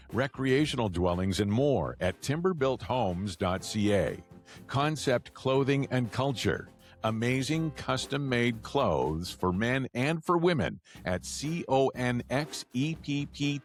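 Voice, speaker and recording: A man reading scripted sponsor messages as a podcast announcer. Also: medium pitch.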